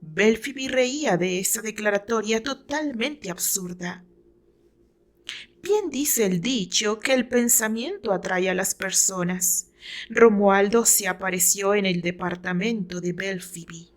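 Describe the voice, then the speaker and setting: A woman's voice: medium pitch, measured pace. A woman with a Mexican accent, an author narrating her own story in a dramatic scripted style.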